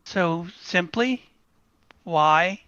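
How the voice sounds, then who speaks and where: A man playing a troubled young shepherd who refuses his destiny, halting and earnest in a dramatic table read, on muffled audio. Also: high-pitched, pushed and bright, clear, resonant tone, no echo, heavily acted. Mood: anxious, questioning.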